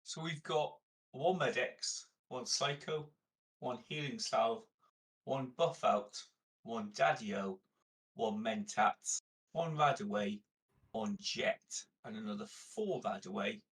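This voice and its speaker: A man's voice: mid-range voice, slow pace, a bit bright, poor audio. A man playing a tabletop game, talking casually and explaining things methodically.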